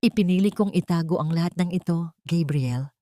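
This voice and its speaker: A woman with a Filipino accent voicing a dignified, gentle older mother in an audio story, earnest and measured. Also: on the low side, no room sound, studio quality, lightly acted. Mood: earnest, remorseful. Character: dignified, gentle older mother confessing long-kept secrets.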